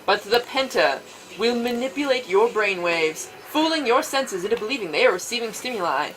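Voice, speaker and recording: A woman's voice: on the high side, lively intonation, normal speed, a bit bright, poor audio. A woman voicing a stern lead developer giving formal, insistent instructions in a visual novel read-aloud. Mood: formal briefing. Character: stern lead developer briefing virtual reality testers. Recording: faint background noise.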